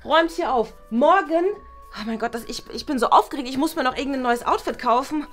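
A woman voicing a vain, excitable schoolgirl who talks in quick, indignant bursts in a toy play series. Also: high voice, soft background music. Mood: excited.

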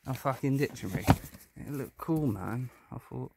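A man's voice: medium pitch, normal speed, gravelly. A man giving casual, sweary exploring commentary, muttering to himself and to viewers on a handheld recording indoors. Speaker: urban exploration vlogger. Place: indoors in a cluttered, dusty abandoned house. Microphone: handheld phone or camera. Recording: noisy handheld recording with clicks.

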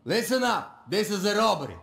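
A hard male bank robber in a video game, blunt and threatening. His raised voice is full of gravel, with a clear room echo. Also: high voice, big pitch swings, brisk, fairly bright tone, full character voice. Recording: echoing room sound.

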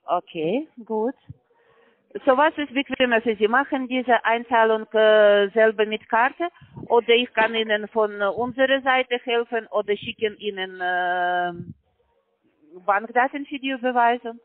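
A woman's voice: on the high side, measured pace, no echo. A woman working as a call center agent on a phone call, talking in broken grammar with constant hesitant fillers. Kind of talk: phone sales pitch. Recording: tinny dry phone line.

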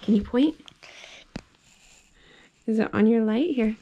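Gentle mother reading a picture book. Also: fairly high pitch, lo-fi sound. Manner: gentle, slow and sing-song, coaxing questions. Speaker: mother reading to her toddler. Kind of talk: bedtime picture book read-aloud. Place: child's bedroom at home. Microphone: phone held above the reader's lap.

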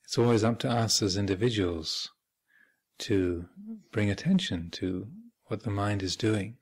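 A man speaking as a meditation teacher, giving measured guided instruction with thoughtful pauses and gentle phrasing. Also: fairly low pitch, breathy edge. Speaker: Buddhist monk and meditation teacher leading a retreat. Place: meditation hall at a retreat center.

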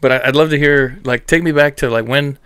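A man's voice: medium pitch, fairly even intonation, a little fast, fairly bright tone, clean tone, no room sound, clean studio sound. A man hosting a podcast interview, chatty and enthusiastic, with rambling questions. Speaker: podcast host. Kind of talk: casual interview.

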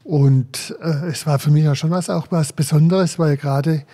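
Man in his 60s, faint Berlin accent, mellow, slightly higher voice, halting with fillers, desk mic. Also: steady tone. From Berlin, Germany. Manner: halting, many fillers, slows when remembering. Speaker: guest, former footballer. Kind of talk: interview, personal recollections. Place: small room with window, seated at table. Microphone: desk podcast mic.

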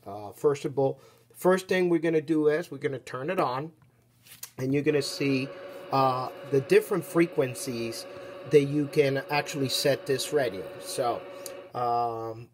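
A man presenting an unscripted product demonstration as a seller, explaining each step casually with a halting, instructional delivery. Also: medium pitch, darkish tone, slight background noise.

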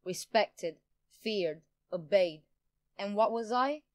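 A woman's voice: mid-range voice, takes it slowly, dry recording, clean studio sound, natural voice. A woman playing a smug, power-hungry politician in an audio drama, pompous and theatrical in manner. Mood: smug, boastful. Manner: theatrical, pompous, self-satisfied. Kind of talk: audio drama villain acting.

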